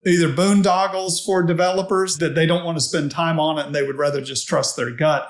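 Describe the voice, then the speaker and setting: A man giving measured, explanatory answers as a guest in a conversational interview. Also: on the high side, creaky. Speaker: podcast guest, tech executive. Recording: roomy, echoey sound.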